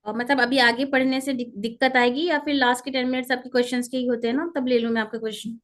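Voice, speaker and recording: A woman teaching a pronunciation class over a video call, explaining step by step and repeating terms and letters slowly. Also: high voice, flat delivery, fairly bright tone. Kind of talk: online class lecture. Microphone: computer mic on a video call.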